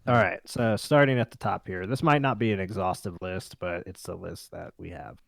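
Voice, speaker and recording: A man's voice: low voice, fairly even intonation, moderate pace, gravelly, fairly bright tone, no echo. A man hosting a casual podcast, chatting with the group and reading through a list. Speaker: podcast host.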